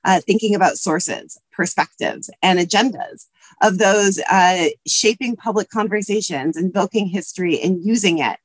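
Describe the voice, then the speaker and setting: A woman's voice: medium pitch, moderate pace, husky, no echo. Scratchy-voiced woman on a video call. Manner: earnest, with frequent um and uh fillers. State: scratchy voice from allergies. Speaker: history professor and panelist. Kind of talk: prepared remarks on a video call. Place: office with bookshelves. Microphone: webcam video call.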